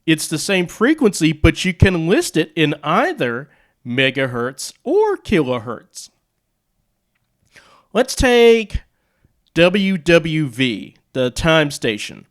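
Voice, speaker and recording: Slow-talking man with a clear, smooth voice. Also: a little high, a bit bright. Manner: halting, explains piece by piece in short phrases. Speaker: YouTube host presenting radio and audio gear. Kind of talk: casual demo and explainer talk.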